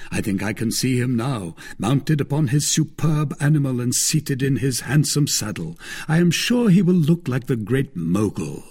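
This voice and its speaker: Guy as young teasing storybook soldier, spirited, swoopy pitch, slightly husky. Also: big pitch swings, moderate pace, hardly any pauses, a little mellow. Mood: fond, mocking.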